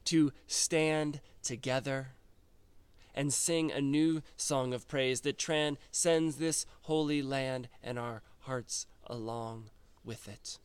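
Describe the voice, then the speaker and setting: A man hosting a reflective spoken musing, who reads a poem in a slow, contemplative way with long pauses. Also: on the high side, clear, resonant tone, no room sound. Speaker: host.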